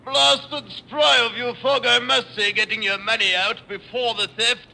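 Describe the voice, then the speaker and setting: An actor playing a stuffy, excitable English banker at a gentlemen's club, with a British accent, in a classic radio play. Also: male voice, very high-pitched, a little slow, gravelly, heavily acted, rough recording. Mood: huffy.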